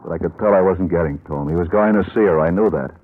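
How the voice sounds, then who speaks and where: A man's voice: low-pitched, quick pace. An American actor playing a weary, blunt working-class family man in a 1950s radio drama, plain-spoken and colloquial. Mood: resigned, bitter. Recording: muffled, lo-fi old radio recording.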